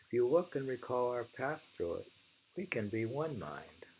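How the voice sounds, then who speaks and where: A man with a gravelly, husky voice reads translated poems aloud and muses over them informally, deliberate with the verse and tentative in his asides. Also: mid-range voice, big pitch swings, darkish tone, highs cut off. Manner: deliberate delivery when reading, musing and tentative in asides. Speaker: reader of poetry.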